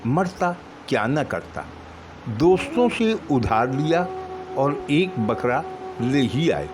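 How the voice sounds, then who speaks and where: A middle-aged man narrating a short story in a measured, deliberate way, pausing between short phrases. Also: mid-range voice, sing-song, noisy background. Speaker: storyteller reading own short stories. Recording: music behind the voice. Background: music.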